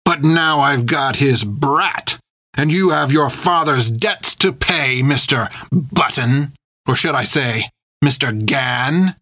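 A man's voice: medium pitch, takes it slowly, dry recording, full character voice. Man playing a furious old pirate captain, spitting threats, dry close sound. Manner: seething, spits words, shouting threats. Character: menacing scarred pirate captain, older man, cruel.

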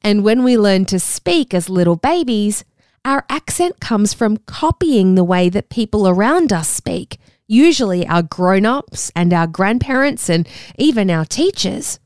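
Australian woman, kids' podcast host, bouncy and expressive pitch, bone-dry studio sound, speaks right to the children. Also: a little slow, smooth, dry recording. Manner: talks directly to young listeners, upbeat and encouraging. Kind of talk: scripted kids' show presenting.

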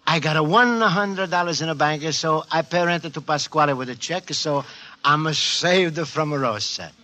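An actor playing a cheerful, naive Italian immigrant writing home in earnest broken English, in a 1940s radio comedy. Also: male voice, fairly high pitch, moderate pace, bright, forceful tone. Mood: earnest, proud. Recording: noisy, clicky 1940s radio recording.

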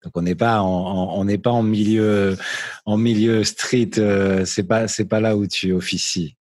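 A man's voice: fairly low pitch, fairly even intonation, unhurried, darkish tone, no room sound. A man hosting a casual interview in an informal, hesitant way, often repeating his phrases as he goes. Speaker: interviewer, host. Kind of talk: casual conversational interview in French.

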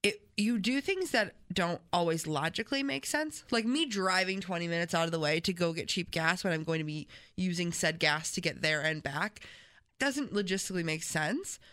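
A woman co-hosts a casual radio chat. Her voice is bright and clear, and she rambles on with plenty of "um"s and "like"s. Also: on the low side, lively intonation, normal speed. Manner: chatty and rambling, with lots of fillers. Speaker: radio co-host.

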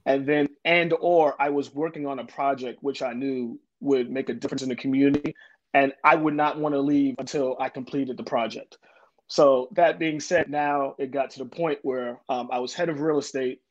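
A man's voice: medium pitch, steady tone, normal speed. A man, a guest in an interview, answering earnestly with many fillers and restarts. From Jamaica, Queens, New York. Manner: earnest, lots of ums and restarts. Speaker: development corporation president, guest. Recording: dull lo-fi audio.

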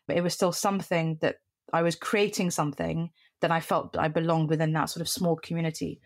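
Woman, a lower voice, guest in an interview: reflective, measured storyteller who hedges her phrasing. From Middle East, Qatar and Cyprus. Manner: measured, thoughtful, with hedges like "sort of" and "kind of". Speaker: guest, mentoring company CEO.

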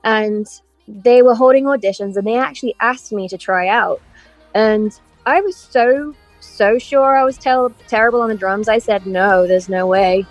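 A woman in her thirties with an English accent that has picked up an American lilt talks easily over a video call. She tells her stories in a chatty, animated way, and her voice sits dry and close with no room around it. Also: mid-range voice, moderate pace, dry recording, faint music behind, poor audio. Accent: mixed English and American. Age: 30s. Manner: chatty, animated storytelling with frequent laughs. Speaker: guest musician. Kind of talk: casual interview chat.

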